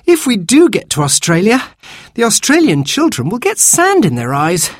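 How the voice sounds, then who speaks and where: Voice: mid-range voice, huge pitch swings, normal speed, no room sound, studio quality, pitched above the performer's own voice. A narrator voicing a questioning, slightly anxious little girl, the youngest in a children's story. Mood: tired, concerned.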